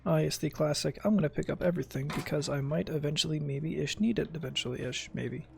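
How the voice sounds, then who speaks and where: A man's voice: fairly high pitch, brisk, creaky, a little mellow, slight background noise. A man giving casual, playful, rambling gameplay commentary as a streamer. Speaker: gaming streamer. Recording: music behind the voice. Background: music.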